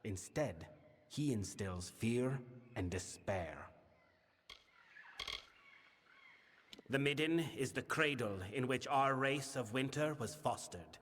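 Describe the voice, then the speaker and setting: A male actor voicing a grave, burdened fae king in a fantasy game, speaking formally and in a measured, solemn manner. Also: medium pitch, animated, a bit bright. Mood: grave, wary. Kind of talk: scripted fantasy game dialogue. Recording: long reverberant hall, music behind. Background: music.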